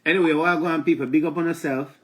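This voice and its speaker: A man's voice: a little high, fast talker. A man in his forties with a Jamaican accent, hosting a live talk stream from a small room with a stuffy nose, chatty and repetitive. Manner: chatty, repetitive, animated when addressing viewers. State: stuffy from allergies. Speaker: live show host. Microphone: phone camera close up.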